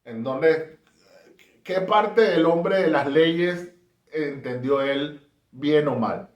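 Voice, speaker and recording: A man's voice: on the high side, highs cut off. A man from Caracas with a Venezuelan accent, giving an emphatic, rhetorical talk on history. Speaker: history presenter. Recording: roomy echo.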